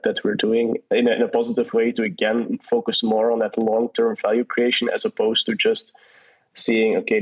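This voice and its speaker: A man's voice: lowish voice, husky. A man answering questions in a casual online audio chat, hesitant, with many restarts and fillers. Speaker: guest from a partner project. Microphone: online audio call. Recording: dull lo-fi audio call.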